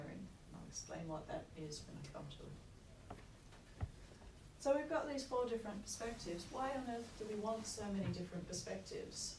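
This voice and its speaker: A croaky-voiced woman lecturer walks a class through course material, measured and explanatory. She is picked up from well back in a roomy, echoing hall. A steady hiss of noise sits under her voice. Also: medium pitch. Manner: measured, explanatory, frequent "okay" and "um" checks. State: croaky, recovering from lost voice. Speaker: university lecturer. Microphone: room recording from far off. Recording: echoey hall, distant mic, noisy.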